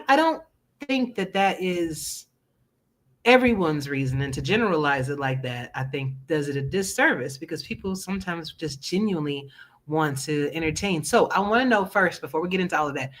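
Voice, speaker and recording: An American woman with a fairly low voice hosts a casual interview. She talks informally, with affirming short phrases that she tends to repeat, in the manner of a gaming talk show host. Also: fairly bright tone.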